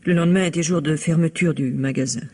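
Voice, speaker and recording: A respectable wife guarding a secret in a mystery radio play. Her voice is husky and breathy, and she speaks with hesitant, earnest, dramatic unease. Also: low voice, fairly even intonation, quick pace, darkish tone. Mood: anxious, confessing. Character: anxious, secretive, respectable married woman.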